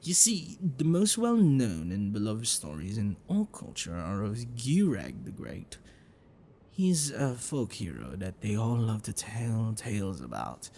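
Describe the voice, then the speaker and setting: Man acting as gentle dragon librarian, ASMR boyfriend roleplay, creaky voice, soft explanatory manner, noticeable background noise. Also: lowish voice, big pitch swings, measured pace, full character voice. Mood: earnest storytelling. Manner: hesitant, soft, caring, explanatory. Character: gentle bookish male dragon-blooded librarian, devoted boyfriend. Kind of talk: ASMR roleplay, speaking to the listener.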